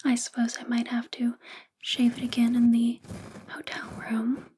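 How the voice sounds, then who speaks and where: A woman playing a possessive, flirtatious boss in a soft-spoken ASMR roleplay, whispery and breathy, with an intimate, coaxing, teasing manner. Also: fairly high pitch, completely flat delivery, normal speed, slight background noise, natural voice. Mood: attentive, soothing. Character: possessive, obsessed, flirtatious female boss doting on employee.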